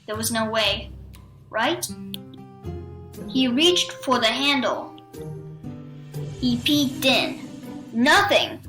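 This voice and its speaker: A woman reading a spooky children's picture book aloud in a theatrical, suspenseful way with deliberate pauses. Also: on the high side, animated, over music. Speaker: children's storybook reader.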